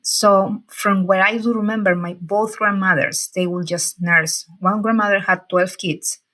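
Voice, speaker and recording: A woman, talking as an interview guest in halting English with frequent fillers. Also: mid-range voice, fairly even intonation, a bit bright, poor audio. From Peru. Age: 40s. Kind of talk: casual interview talk.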